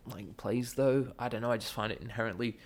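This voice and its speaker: A man's voice: medium pitch, fairly quick. A man with a watered-down Australian accent, chatting as a podcast guest about his acting and the school theatre program he runs, rambling with plenty of fillers. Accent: Australian, softened. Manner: rambling, with many fillers and restarts. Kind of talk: casual podcast chat.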